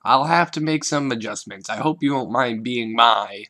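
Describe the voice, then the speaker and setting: A man's voice: mid-range voice, moderate pace, sharp tone, close to an everyday voice. A man voicing a refined, chatty teenage girl with a theatrical, genteel manner in a story reading. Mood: fussy, grateful.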